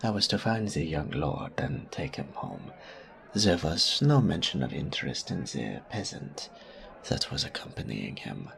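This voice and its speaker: A haughty male servant of a noble house, acted by a man in an audio drama. His voice is very deep with a heavy creak, swooping through formal, over-polite phrases with pompous disdain. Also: wildly swooping pitch, unhurried, fairly bright tone, full character voice. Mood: indignant, dismissive. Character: stuffy, haughty male agent of a baron. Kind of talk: audio roleplay drama acting.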